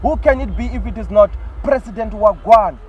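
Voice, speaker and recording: A man hosts a podcast interview in a fairly high voice. He speaks formally and courteously, addressing his guest by title and keeping a steady stream of quiet "mm"s as he listens. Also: normal speed, husky, highs cut off. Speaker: podcast host.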